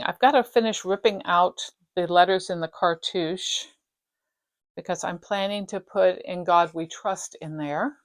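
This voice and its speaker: Slightly raspy lowish woman. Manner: chatty, rambling, self-interrupting. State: slightly raspy from dry throat. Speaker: flosstube host. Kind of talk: casual show-and-tell chat. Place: craft room at home.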